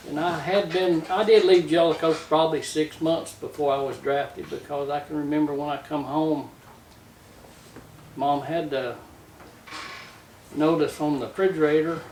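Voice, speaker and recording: An older Southern American man tells his life story in a folksy, casual manner. His voice sits a bit higher than average. The recording is roomy and a little far from the mic. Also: fairly even intonation, normal speed, pauses often, a little mellow. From Tennessee, United States. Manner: folksy, plainspoken. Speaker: interview guest, retired-era trucker telling his life story. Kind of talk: casual reminiscing. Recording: roomy, distant mic with faint noise.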